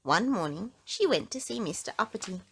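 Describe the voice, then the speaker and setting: A woman reading a children's book aloud in an expressive storytelling style, drawing out words for emphasis. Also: mid-range voice, fairly quick, creaky, breathy edge.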